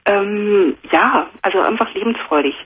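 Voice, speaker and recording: Woman radio caller, hesitant and friendly, very breathy voice, dull phone sound. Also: medium pitch, animated, measured pace. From Cameroon. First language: German. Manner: hesitant, many fillers, open and friendly. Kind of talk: casual phone conversation. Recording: muffled phone line on radio.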